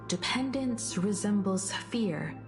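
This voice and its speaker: A calm and thoughtful daughter in a video game. She speaks slowly and solemnly at a medium pitch, resolute in temper. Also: vocal fry, music in the background. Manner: measured, solemn. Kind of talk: video game character acting.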